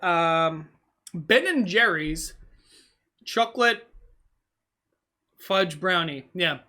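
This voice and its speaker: A casual vlogger with Hungarian as a first language, thinking out loud to the camera. The voice is bright and sits in a middling pitch, drifting from thought to thought with frequent "um"s, "like"s and restarts. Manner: meandering, musing aloud with many fillers and self-corrections; talks while eating on camera. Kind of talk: casual rambling vlog.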